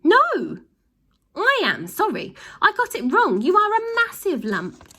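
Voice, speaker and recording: Lady doing a nagging crook wife from a kids' book, shrill n high, scornful. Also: wildly swooping pitch, slow, pushed and bright, heavily acted. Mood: scornful, irate. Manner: shrill, scolding. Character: shrieking, bossy, scornful wife, an ice cream crook.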